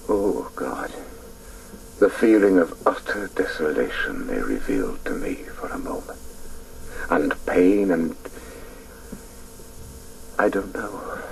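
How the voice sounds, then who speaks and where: A grown man haunted by his childhood, telling it in solemn, hesitant first person. The voice is very deep and creaky. The radio recording is a little distant with faint noise. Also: heavily acted. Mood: awed. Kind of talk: dramatic first-person narration.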